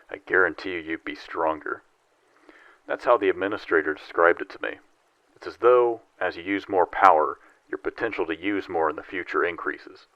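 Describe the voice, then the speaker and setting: An actor playing a cool, loyal psionic agent in a sci-fi audio drama, speaking in a measured, dutiful manner. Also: male voice, low-pitched, dry recording, highs cut off.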